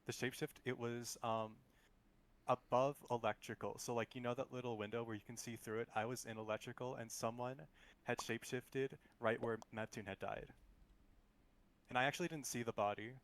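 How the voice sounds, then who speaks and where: Creaky-voiced man in game chat. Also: medium pitch, steady tone, a little fast, a bit bright. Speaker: player in a social deduction game. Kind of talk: casual group game chat, arguing a case.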